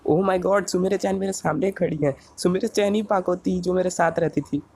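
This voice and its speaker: An excitable boy superhero from a cartoon dub, acted by a man, with a mid-pitched, mellow voice. He sounds earnest and pleading, tripping over repeated words when flustered. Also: a little fast, natural voice. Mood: amazed.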